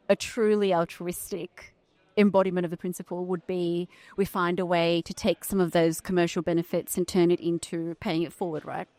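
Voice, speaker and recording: A woman's voice: fairly low pitch, mellow, dry recording. A middle-aged woman from Sri Lanka, a guest in a podcast conversation, speaking in a thoughtful, articulate way and often checking in with "right?". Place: conference venue.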